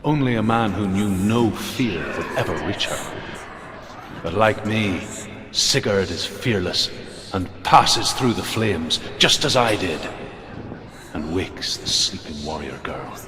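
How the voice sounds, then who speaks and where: A man playing a grave, reverent storyteller of Norse legends in a video game, solemn and measured. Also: low voice, animated. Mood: solemn storytelling. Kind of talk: slow dramatic myth narration. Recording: long cavernous reverb, clear background noise.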